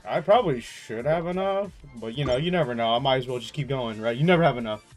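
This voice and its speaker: A man's voice: mid-range voice, expressive, fast. A man in his twenties chatting casually and informally with viewers as he plays, rambling and teasing. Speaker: game livestreamer. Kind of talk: casual chat while gaming, answering viewers. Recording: game music behind the voice. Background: video game music.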